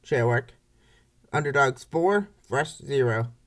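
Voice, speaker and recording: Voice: medium pitch, animated, takes it slowly, fairly bright tone. A baseball play-by-play announcer reading scripted commentary for a comic dub in short, clipped phrases.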